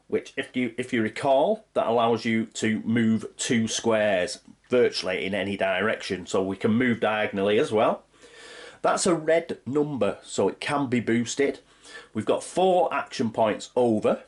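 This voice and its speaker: A congested man, his nose blocked by a cold, hosts a tabletop playthrough. His low voice talks through each move in a chatty, patient way, spelling out the rules step by step. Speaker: board game channel host. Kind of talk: playthrough commentary of a board game.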